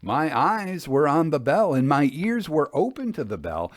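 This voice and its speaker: A man narrating a classic literary ghost story in an expressive, measured, theatrical manner. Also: fairly high pitch, no echo.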